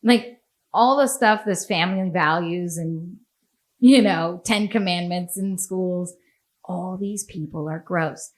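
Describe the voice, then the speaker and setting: A woman's voice: on the low side, takes it slowly. Blunt, sweary American woman. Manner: blunt, sweary, laughs often. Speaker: podcast host. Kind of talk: casual opinionated chat.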